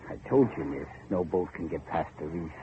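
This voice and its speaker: A grim boatman acted by a man with a very low voice and a foreboding, cryptic air. He talks in folksy, clipped phrases in the manner of vintage radio horror. The recording is muffled and noisy, like an old broadcast. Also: moderate pace, natural voice. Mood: evasive, grim. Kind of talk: 1940s radio horror acting.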